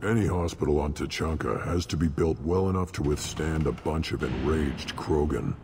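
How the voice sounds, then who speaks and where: An actor playing a surly, blunt alien warrior in a video game, gruff and dismissive, with a deep gravelly voice. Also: male voice, normal speed. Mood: gruff, matter-of-fact. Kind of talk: video game character acting. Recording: long reverb, clear background noise.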